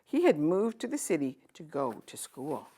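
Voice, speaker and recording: A woman voicing a kind, weary king disguised as a merchant in a children's storybook, speaking in a gentle, fatherly manner. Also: low-pitched, sing-song, normal speed, husky, exaggerated voice. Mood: matter-of-fact. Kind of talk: children's storybook read-aloud.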